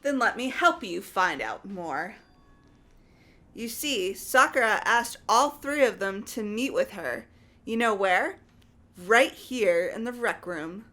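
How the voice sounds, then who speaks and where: A woman's voice: mid-range voice, animated, takes it slowly, sharp tone. A woman voicing an insistent, grieving teenage girl in a video game, speaking earnestly and emphatically. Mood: accusing. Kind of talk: amateur video game voice acting.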